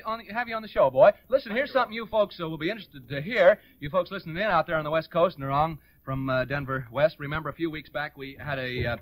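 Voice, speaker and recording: A man's voice: a little high, a bit bright, no room sound, rough recording. An American man hosting a 1940s radio show, talking off the cuff to the listeners at home.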